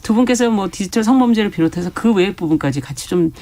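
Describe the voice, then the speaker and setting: A woman with a medium-pitched voice hosts a current-affairs radio programme. She sums up the discussion and puts questions to her guests in an announcer's interviewing style. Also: lively intonation, quick pace, mellow. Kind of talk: current-affairs interviewing with frequent short acknowledgements.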